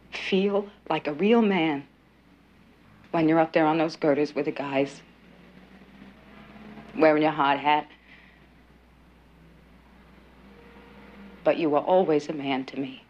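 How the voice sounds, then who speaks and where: A woman's voice: medium pitch, natural voice. Actress playing a woman police officer, outspoken, voice swinging up and down, dull lo-fi sound. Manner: emotional, outspoken. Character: woman police officer, fed up with her marriage. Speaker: police detective.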